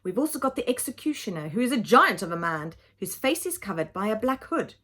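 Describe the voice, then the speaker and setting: A woman's voice: mid-range voice, sing-song, brisk, a bit bright. A woman reading a children's storybook aloud like a teacher, with dramatic emphasis and simple explanatory asides.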